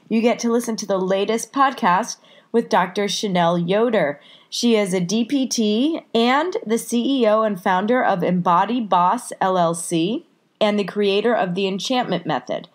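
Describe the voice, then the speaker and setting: A woman hosting a podcast, stuffed up with a cold, chatty and upbeat as she introduces the episode. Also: mid-range voice. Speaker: podcast host. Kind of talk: casual podcast intro and interview.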